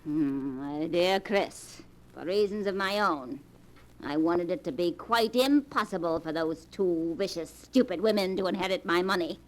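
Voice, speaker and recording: A woman acts an elderly grandmother in a 1940s radio drama. She speaks slowly and deliberately, with sardonic, knowing menace and cool mockery, savouring her schemes. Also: on the low side, lightly acted, rough recording. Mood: cool, accusing. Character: elderly scheming grandmother, coolly mocking, vengeful.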